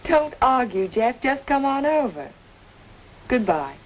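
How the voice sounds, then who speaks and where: A woman's voice: high-pitched, very animated, takes it slowly, noisy background, full character voice. A woman playing a determined, lovelorn young amateur sleuth in an old-time radio noir drama, speaking earnestly and insistently. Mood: urgent, insistent.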